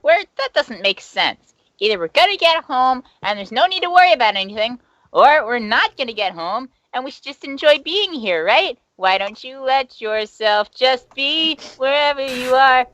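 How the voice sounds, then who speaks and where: A carefree young cartoon child, voiced by a woman, very high and lively in pitch. The delivery is easygoing, part of a comic fandub, and recorded close and dry. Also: normal speed, no echo, dull audio. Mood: carefree, matter-of-fact. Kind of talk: comic fandub character reading.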